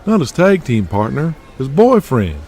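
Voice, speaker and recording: A man's voice: medium pitch, huge pitch swings, measured pace, darkish tone, husky, voice pushed up, full character voice. A man playing an easygoing, matter-of-fact old hand of the wrestling business in an audio drama.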